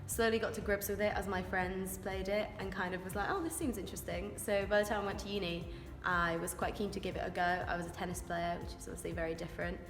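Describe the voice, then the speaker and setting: A woman's voice: fairly low pitch, fast talker, hardly any pauses. A rugby player in her twenties from Wales, answering interview questions in a chatty, eager, informal way. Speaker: rugby scrum-half. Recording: echoey room with long reverb, music behind.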